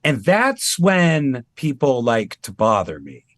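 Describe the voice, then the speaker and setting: A man's voice: medium pitch, takes it slowly, dry recording. A man from Maine, a tribute performer talking casually and animatedly as an interview guest over a video call. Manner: chatty, animated, self-deprecating.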